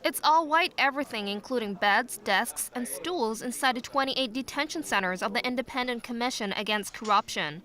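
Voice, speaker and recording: A woman's voice: on the high side, normal speed, few pauses, bright, forceful tone. A woman narrating a scripted news report as a reporter.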